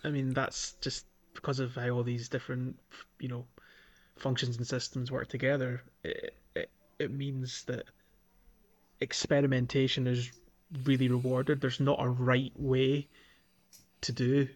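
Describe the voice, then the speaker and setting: A man's voice: mid-range voice, monotone, measured pace. A man co-hosting a podcast, chatting casually and with enthusiasm about a video game. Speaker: podcast co-host.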